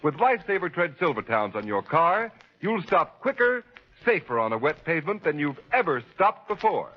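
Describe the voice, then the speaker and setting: Male vintage ad announcer, lively swooping delivery, husky, emphatic salesman. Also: fairly high pitch, moderate pace. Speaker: radio commercial announcer. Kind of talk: 1930s radio advertisement read. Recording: dull, fuzzy 1930s radio recording.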